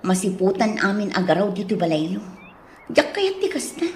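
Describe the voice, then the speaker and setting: A woman's voice: medium pitch, sing-song, normal speed, a little mellow, a little hiss or hum, close to an everyday voice. A woman playing a young student wife, dutiful yet headstrong and emphatic, in an Ilocano audio drama. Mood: insistent, annoyed. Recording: roomy, echoing studio sound.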